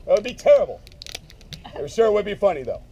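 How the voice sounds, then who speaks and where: A man speaking loudly and emphatically in a casual way, giving a eulogy at a memorial gathering. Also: high voice, very animated, fairly quick. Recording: dull lo-fi sound, faint noise.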